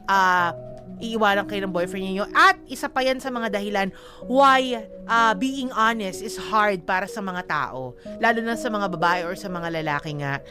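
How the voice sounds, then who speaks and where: A woman's voice: mid-range voice, moderate pace. A Filipino woman co-hosting a show, giving candid, emphatic relationship advice in casual talk that slips into English. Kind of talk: casual unscripted banter and advice. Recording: music bed under the talk. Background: background music.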